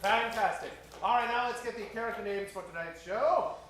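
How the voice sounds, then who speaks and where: A man with a high voice works a live audience as an old-time radio show host. He speaks with theatrical, mock-grand showmanship. The recording is very echoey and distant, as if caught from out in the venue. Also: moderate pace, a bit bright. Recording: noisy, echoey live stage recording from far off.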